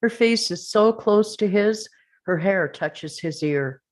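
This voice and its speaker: A woman reading the action and description at a script table read over a video call, in a measured, plain way. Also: medium pitch.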